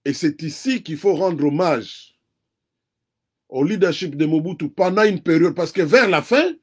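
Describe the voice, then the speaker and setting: A man from the DR Congo with a Congolese French accent, a native Kikongo speaker, giving earnest, deliberate political commentary as an interview guest. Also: on the high side, lively intonation, breathy edge.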